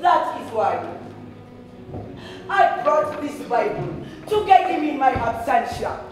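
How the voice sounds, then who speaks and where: Woman acting high-pitched melodramatic wronged woman. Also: very animated, slow pace, over music, full character voice. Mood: pleading, defiant. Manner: plaintive, dramatic, emphatic. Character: aggrieved, melodramatic woman pleading her case. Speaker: student actor in a school play. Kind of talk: declamatory stage melodrama. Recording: distant echoey hall, faint noise.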